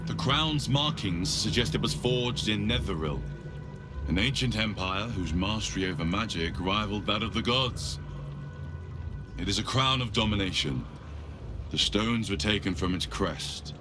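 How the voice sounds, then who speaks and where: Male actor as a grave scholarly protector, deep voice, formal and portentous, video game dialogue, music behind. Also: animated, moderate pace, lightly acted. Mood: thoughtful, explaining. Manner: formal, solemn, portentous delivery.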